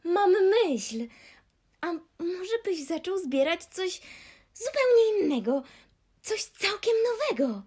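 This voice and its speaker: A man voicing a sweet, kind young female troll who speaks gently and sympathetically in an audiobook. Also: very high-pitched, big pitch swings, takes it slowly, voice pushed up, heavily acted. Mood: eager. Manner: gentle, sympathetic and softly pleading. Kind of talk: audiobook character voice.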